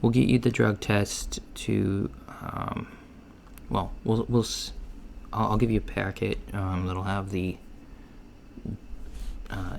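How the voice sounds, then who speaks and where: A man playing an easygoing, reassuring alien job interviewer in a soft-spoken ASMR roleplay, talking casually and haltingly and trailing off. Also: on the low side. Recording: lo-fi with clear background noise. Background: computer keyboard typing.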